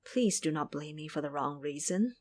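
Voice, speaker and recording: A woman doing a gentle pious master monk. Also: deep voice, expressive, moderate pace, voice pushed down. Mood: pleading. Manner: pleading, earnest.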